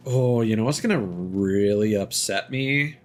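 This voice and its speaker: A man's voice: on the low side, measured pace, soft background music. A young man streaming a game, chatting casually with lots of fillers. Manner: rambling, many fillers, reads item text aloud. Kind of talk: casual gameplay commentary.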